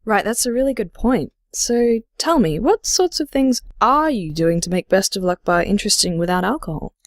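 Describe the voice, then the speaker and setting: An actress playing a supportive, curious radio host in an audio drama, with a friendly, inquisitive manner. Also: female voice, mid-range voice, big pitch swings, moderate pace, dry recording, clean studio sound, natural voice. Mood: friendly interest.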